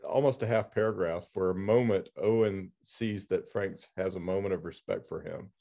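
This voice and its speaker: A man hosting a casual interview, talking in a halting way as he searches for his words. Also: low-pitched, steady tone, creaky, mellow. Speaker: podcast host interviewing an author.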